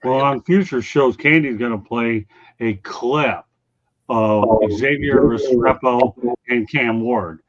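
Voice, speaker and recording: A man's voice: mid-range voice, slow pace, lo-fi sound. A man hosting a casual sports talk show, bluntly teasing and ranting at his co-hosts and viewers. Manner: blunt, teasing, addresses chat viewers by name.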